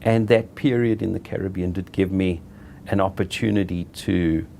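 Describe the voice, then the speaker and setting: Gravelly, low-voiced South African man. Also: fairly even intonation, dark tone, slight background noise. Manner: unhurried, halting, self-correcting. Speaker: interviewee, property director. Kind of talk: reflective personal storytelling.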